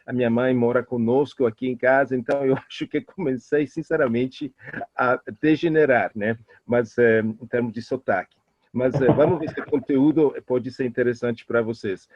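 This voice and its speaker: A middle-aged man from Italy speaking with an Italian accent as a guest expert, telling personal stories quickly with many hesitations and restarts. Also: mid-range voice, dry recording. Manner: fast, animated talker with many hesitations and restarts. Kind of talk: interview answers, personal storytelling. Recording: muffled lo-fi audio.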